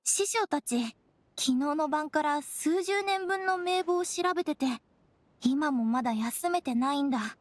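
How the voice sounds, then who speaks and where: A woman's voice: high-pitched, unhurried. A woman voicing an earnest, slightly shy teenage girl apprentice in an anime game, informal and a little hesitant.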